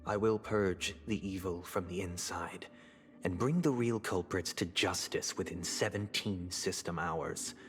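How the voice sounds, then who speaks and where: An actor playing a suave, cunning, sanctimonious villain in a video game, speaking formally and with measured menace. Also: male voice, sits low, a bit bright. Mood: solemn menace. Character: suave, coldly cunning male villain, sanctimonious clergyman-like authority. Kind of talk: dramatic video game voice acting. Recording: music behind the voice.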